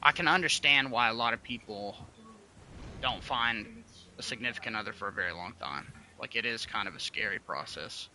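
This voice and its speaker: Bright-voiced young man streaming. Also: fairly low pitch, fairly quick, slight background noise. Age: 20s. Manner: relaxed, swears freely, chats to viewers. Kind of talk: casual livestream chat.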